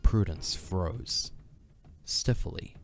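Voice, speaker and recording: A man's voice: sits low, extremely slow, gravelly, faint music behind. A man narrating a fantasy audio drama in a dramatic, scripted storytelling style.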